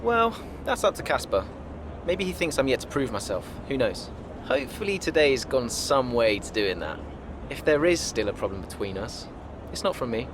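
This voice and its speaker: A confident young racing driver in a game cutscene. His delivery is casual and understated, yet the pitch moves a lot. A clear rumble sits behind him. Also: sing-song, normal speed. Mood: cocky, guarded. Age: young adult. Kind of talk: naturalistic video game cutscene acting.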